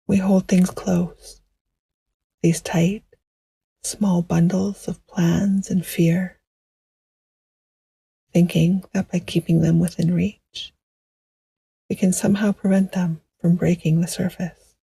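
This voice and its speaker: A woman guiding a meditation in a gentle, hushed, poetic style, with a soft, breathy voice that often nears a whisper. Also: lowish voice, little pitch movement, no room sound. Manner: gentle, hushed, unhurried, soothing. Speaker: meditation guide. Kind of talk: slow poetic guided meditation narration.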